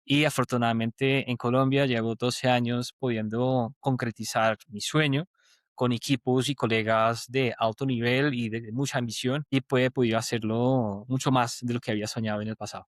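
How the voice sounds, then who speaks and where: A man's voice: mid-range voice, steady tone, moderate pace, dry recording. Guy from portugal, portuguese first language, talks easy and detailed, dry close mic. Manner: fluent, detailed, reflective with restarts. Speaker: guest, transport project leader. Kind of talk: conversational interview.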